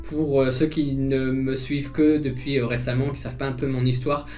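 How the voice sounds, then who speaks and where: A man's voice: medium pitch, flat delivery, normal speed, a little mellow, soft background music. A man from northern France, a vlogger chatting casually as he answers viewer questions, with many hesitations and fillers. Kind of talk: casual chat.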